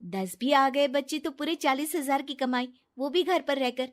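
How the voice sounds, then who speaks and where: A woman voicing a naive, soft-hearted young wife, earnest and pleading, in a moral story. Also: high voice, moderate pace, voice pushed up, natural voice. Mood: chatty, hopeful.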